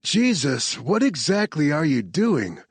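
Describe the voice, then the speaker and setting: A man's voice: on the high side, very animated, a little slow, husky, dry recording, voice pushed up, exaggerated voice. A man voicing a frustrated young male tech specialist, raised above his own narrating voice.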